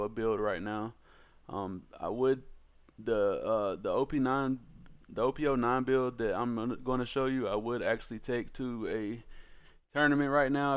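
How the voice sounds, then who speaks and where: A man's voice: medium pitch, smooth, muffled recording. A man hosting a card game channel, talking through a deck in a casual, informal way with slangy turns of phrase.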